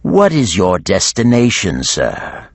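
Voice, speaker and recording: A man voicing a huge menacing dragon that speaks with slow, falsely courteous cruelty in an audiobook. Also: on the low side, wildly swooping pitch, no room sound, full character voice. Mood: mock-polite. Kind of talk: audiobook character voice.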